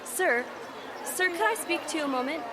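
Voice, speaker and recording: An actress playing a brisk field news reporter in a live radio drama, describing a crowded street scene. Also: female voice, very high voice, animated. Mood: reporting. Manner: brisk, newsy delivery. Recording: loud crowd and street noise.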